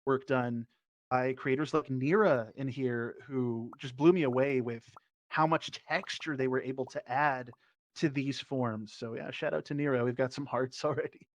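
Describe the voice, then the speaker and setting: A man's voice: mid-range voice, steady tone, moderate pace, no echo, lo-fi sound. A man speaking casually and thoughtfully as an enthusiastic panelist over online voice chat. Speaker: panelist, performer. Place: virtual reality social app session.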